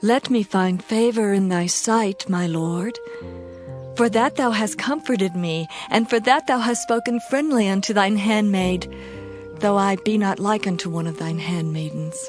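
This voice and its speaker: A woman plays a devoted young widow of biblical times. She speaks humbly and formally in archaic English at a medium pitch, with music playing clearly beneath her. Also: unhurried, close to an everyday voice. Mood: humble gratitude. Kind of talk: dramatized scripture reading, archaic English.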